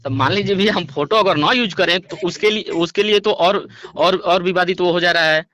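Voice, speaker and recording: A man's voice: sits high, brisk, bright, forceful tone. A man defending himself in a phone conversation, repeating words and hesitating while addressing the other person respectfully. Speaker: singer being interviewed. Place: phone call recording. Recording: dull lo-fi recorded phone call.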